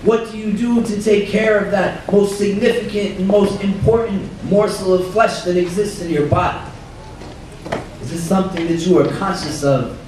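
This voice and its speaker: Hoarse imam in an echoey hall. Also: male voice, sits high, steady tone, a little mellow. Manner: measured, reflective, quotes Arabic scripture. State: hoarse, losing his voice. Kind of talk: religious talk at a dinner. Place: banquet hall. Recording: roomy far-off hall recording with faint noise.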